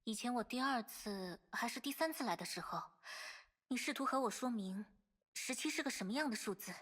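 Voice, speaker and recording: A woman's voice: a little high, lively intonation, brisk, a bit bright. An actress playing an earnest, courteous young female warrior in an anime-style video game. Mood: calm, teasing. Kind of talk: scripted anime game voice acting.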